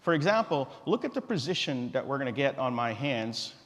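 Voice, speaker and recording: A man's voice: mid-range voice, a little fast. Man presenting in a big echoey hall. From Guyana. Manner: relaxed, direct, joking with the audience. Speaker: company founder presenting a tech demo. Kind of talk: informal live stage presentation. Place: conference stage in a large reverberant hall. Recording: echoey hall through a stage PA.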